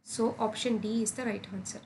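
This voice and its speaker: A woman's voice: fairly high pitch, steady tone, fairly quick, a little mellow, slight background noise, poor audio. A woman tutor working through exam solutions step by step, methodically spelling out formulas in short phrases. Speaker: physics tutor.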